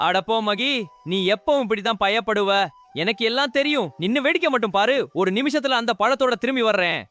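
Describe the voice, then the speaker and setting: A man voicing a cocky, mischievous little rabbit in a children's cartoon, with an expressive delivery. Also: extremely high pitch, a little fast, soft background music. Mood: cocky, dismissive. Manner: boastful, expressive. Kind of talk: children's animated moral story dialogue.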